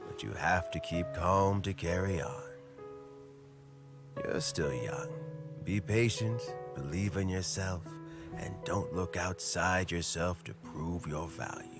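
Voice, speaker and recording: A patient old fisherman mentor. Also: low-pitched. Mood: gentle, advising. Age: older. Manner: slow, gentle fatherly advice with coughs and chuckles. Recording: game audio with music.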